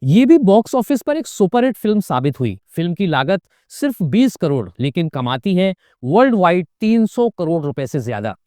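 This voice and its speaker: A man's voice: high-pitched, very animated, moderate pace, few pauses, mellow, dry recording, clean studio sound. A man narrating a scripted political commentary, pointed and emphatic, with sarcastic asides. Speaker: political video essayist.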